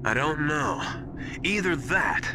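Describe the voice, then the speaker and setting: Animated, suspicious military adviser. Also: male voice, on the high side, takes it slowly, background noise. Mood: urgent, wary. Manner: emphatic, insistent. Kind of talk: dramatic video game voice acting.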